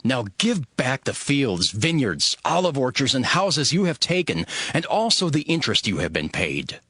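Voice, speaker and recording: A man's voice: medium pitch, sing-song, moderate pace, pushed and bright, husky, no echo, studio quality, exaggerated voice. A man playing a resolute, devout leader narrating dramatized scripture in an earnest, declamatory way. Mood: stern, indignant. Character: Nehemiah, resolute devout male leader rallying his people.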